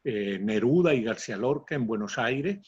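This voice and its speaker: A man's voice: medium pitch, animated, normal speed, a little mellow, slightly rough. A man hosting a conversational interview, emphatic and repeating his phrases, on a dull lo-fi recording. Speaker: interview host. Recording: dull lo-fi sound.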